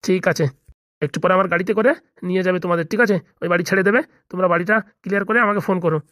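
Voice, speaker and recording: A man's voice: high voice, fairly even intonation, racing, a bit bright, no echo, studio quality. A man voicing a blunt, self-important small-time gangster boss in a comedy cartoon, bossy and businesslike with a Bengali accent. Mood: grumbling. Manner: bossy, businesslike, repeatedly seeking agreement.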